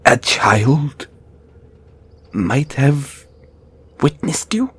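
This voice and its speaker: A man's voice: mid-range voice, very animated, extremely slow, fairly bright tone, breathy edge, exaggerated voice. A man acting a squeamish, timid gargoyle handler in an audio roleplay, stammering and speaking with nervous, formal politeness. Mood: apologetic, nervous.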